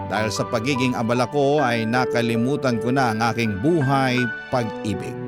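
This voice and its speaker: A man reading scripted first-person narration in the style of a radio love story. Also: mid-range voice. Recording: loud music bed under voice.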